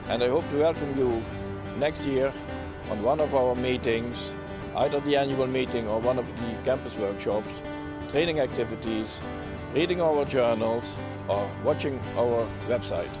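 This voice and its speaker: A man in his sixties, formally presenting a medical society outdoors in front of a convention center, measured and a little hesitant. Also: medium pitch. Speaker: society chairman presenting the organization. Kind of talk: scripted promotional address. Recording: speech over background music. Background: background music.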